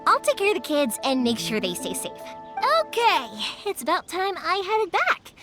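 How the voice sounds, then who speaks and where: An actress voicing a cheerful, spirited young woman who makes fireworks, talking in a lively, chatty, big-sisterly way. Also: extremely high pitch, normal speed. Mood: earnest. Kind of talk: game voice acting. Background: game music.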